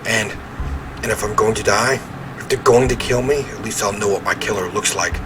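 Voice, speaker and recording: A man's voice: fairly low pitch, normal speed, background noise. A man reading a visual novel aloud as he plays, with theatrical, emphatic narration and offhand reactions.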